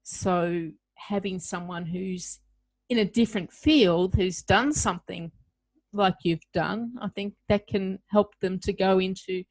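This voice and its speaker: A woman's voice: mid-range voice, a little slow, a little mellow, dry recording. Middle-aged host lady, reflective chat, close dry sound. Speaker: podcast host. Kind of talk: podcast interview.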